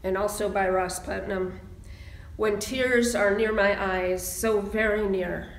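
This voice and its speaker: A woman minister speaking in a measured, deliberate sermon style, pausing for emphasis as she reads and preaches. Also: medium pitch, steady tone. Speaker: minister leading a church service. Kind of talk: sermon and slow, solemn readings. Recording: roomy, echoing sound.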